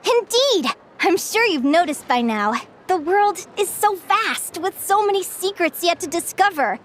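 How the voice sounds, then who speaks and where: High-voiced, gentle young woman storyteller. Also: lively intonation, faint music behind. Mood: earnest wonder. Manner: measured, articulate, enthusiastic about lore. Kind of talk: storytelling in an English game dub.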